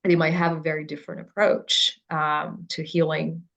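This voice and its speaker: A psychiatrist from Ukraine talking through ideas in a relaxed professional discussion, thoughtful and halting, with many fillers. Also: female voice, low-pitched, gravelly, dull audio.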